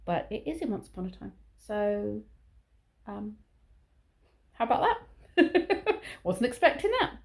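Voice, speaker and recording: A woman's voice: mid-range voice, big pitch swings, measured pace, vocal fry, pauses often. A woman talking casually to camera in a vlog, chatty and prone to rambling. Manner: rambling, chatty, self-aware waffling. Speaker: vlogger.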